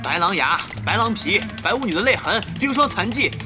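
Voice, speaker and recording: An excitable guild gamer, acted by a woman whose voice jumps up and down. The player blurts complaints and pleads in exasperation, as in a radio drama, over loud music. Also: mid-range voice, fairly quick, close to an everyday voice. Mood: exasperated. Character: excitable, grumbling online-game guild player, easily exasperated. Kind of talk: Chinese audio drama acting.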